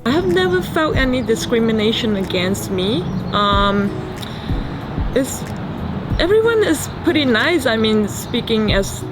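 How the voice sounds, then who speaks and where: A woman's voice: fairly high pitch, big pitch swings, a little slow, low-quality recording. A woman with a Malaysian accent giving short, simple interview answers. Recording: background music throughout.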